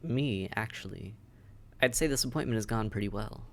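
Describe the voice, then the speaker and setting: A man's voice: lowish voice, moderate pace, natural voice. A man acting a friendly, dry-witted vampire caseworker in a conversational audio roleplay, wry, understated and matter-of-fact. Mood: helpful, friendly. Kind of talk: conversational audio roleplay, one side of a dialogue.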